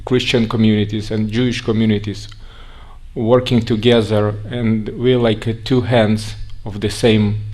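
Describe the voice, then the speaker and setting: Russian-accented guy giving a talk, slow and halting, lots of restarts. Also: on the low side, little pitch movement, a little mellow. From Russia (Siberia). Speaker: guest speaker. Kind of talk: conference talk.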